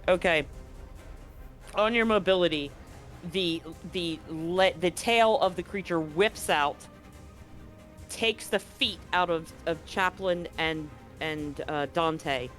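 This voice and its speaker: A man with a fairly high voice acts as game master for a tabletop roleplaying session. He talks casually and swears freely, with music playing faintly behind him. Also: slow pace, fairly bright tone.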